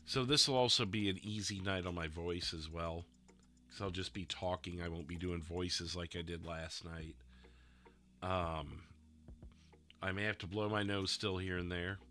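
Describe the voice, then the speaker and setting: A man chatting casually with his stream viewers, his voice a little rough and stuffy, rambling and laughing often. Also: low voice, steady tone, measured pace, pushed and bright. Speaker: livestreamer playing a roleplay dispatcher.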